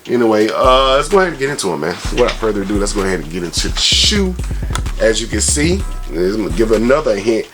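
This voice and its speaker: A man with a fairly low voice chats through a casual product review as a YouTube host. His delivery is slangy and conversational, peppered with tag phrases, and music plays behind him. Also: big pitch swings, fast talker. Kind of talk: casual product review vlog with shout-outs.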